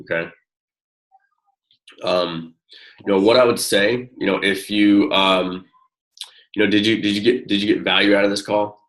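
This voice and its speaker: A man with a low-pitched voice gives one-on-one coaching in a casual, encouraging way. He talks in halting, informal phrases, circling back as he explains. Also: fairly even intonation, a bit bright, rough recording. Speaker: network marketing coach.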